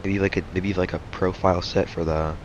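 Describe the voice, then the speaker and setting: A man's voice: deep voice, little pitch movement, brisk. A young man casually talking through a flight simulator stream, laid-back and rambling, saying he is losing his voice. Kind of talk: casual live-stream commentary. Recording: muffled stream audio with noise.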